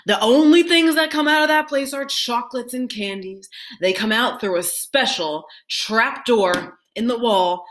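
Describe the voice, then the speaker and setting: A woman's voice: medium pitch, a bit bright, natural voice. Woman playing an old grandfather spinning suspenseful tales. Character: old grandfather, a gentle, mysterious storyteller.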